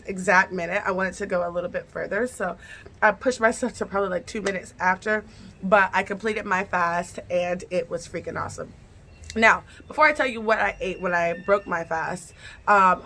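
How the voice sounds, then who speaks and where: Woman vlogger, bright tone, casual first-person storyteller who talks directly to her audience. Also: medium pitch, slight background noise. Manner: chatty, repeats phrases, addresses viewers directly.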